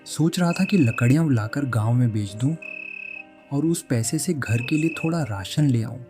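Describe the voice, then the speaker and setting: A man's voice: mid-range voice, lively intonation, normal speed, lightly acted. A man playing a poor village woodcutter and father in a melodramatic cartoon moral story, pleading and lamenting. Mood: distraught. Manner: pleading, lamenting, repeats himself. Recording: loud music behind the voice.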